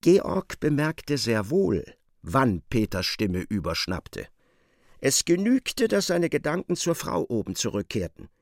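A man narrating a literary radio drama in a gravelly voice. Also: medium pitch, very animated, slow, no echo, clean studio sound.

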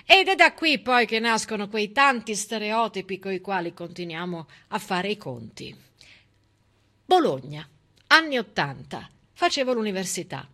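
A woman's voice: medium pitch, pushed and bright. A middle-aged woman performing a theatrical civic monologue, telling history in an emphatic, dramatic and ironic manner. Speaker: stage actress and storyteller.

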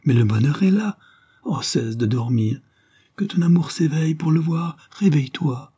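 A man's voice: a little high, moderate pace, warm tone, husky, pitched above the performer's own voice. A man playing an ardent, anguished count who pleads with his beloved in an impassioned, melodramatic way. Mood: urgent pleading.

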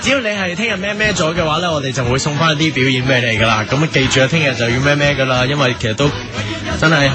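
Guy doing station announcements, high voice, hong kong cantonese, casual, music underneath. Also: rapid-fire.

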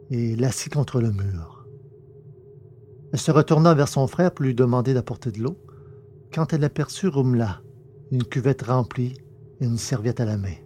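A man narrating a science fiction adventure in a measured, dramatic storytelling manner. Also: mid-range voice, mellow, a little hiss or hum. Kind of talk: scripted audiobook narration.

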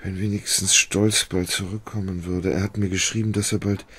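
An actor playing an indolent, helpless and fretful gentleman, plaintive and hesitant, in a radio drama. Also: low-pitched, level tone, normal speed, husky.

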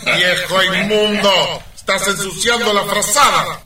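An actor playing a bossy, irritable expedition leader in a theatrical, commanding way for a comic radio play. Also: male voice, sits high, measured pace, sharp tone, reverberant, far from the mic, full character voice. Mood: bossy, irritated.

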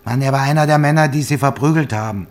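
An actor playing a hard-bargaining police investigator in a crime drama, probing and dismissive. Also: male voice, mid-range voice, fast, lightly acted. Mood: pressing. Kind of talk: acted dialogue in a crime drama.

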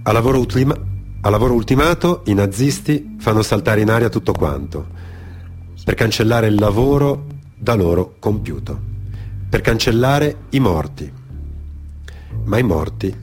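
A man performing a theatrical narrative monologue for radio, in a dramatic, deliberate manner with repetitions and weighted pauses. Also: fairly low pitch, a little mellow. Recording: music behind the voice. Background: music.

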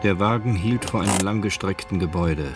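Deep-voiced male narrator over music. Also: fairly quick. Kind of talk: scripted literary narration in radio drama. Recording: music behind the voice.

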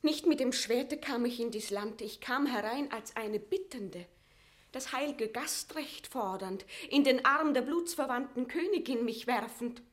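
A woman's voice: fairly high pitch, measured pace, natural voice. An actress playing a proud captive Scottish queen in a classical radio drama, declaiming formal verse with eloquent, impassioned defiance. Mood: wounded pride. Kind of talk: classical German stage verse radio acting.

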